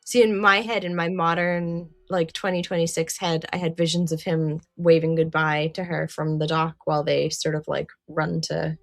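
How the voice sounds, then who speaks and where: A woman's voice: deep voice, level tone, moderate pace, vocal fry, hardly any pauses, no room sound, faint music behind. A woman co-hosting a podcast in casual discussion, thinking aloud in hypotheticals and asides. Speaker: podcast co-host.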